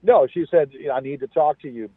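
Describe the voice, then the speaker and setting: A man under the weather, talking casually on the phone in a halting, repetitive, rambling way. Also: on the high side. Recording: thin, phone-like call audio.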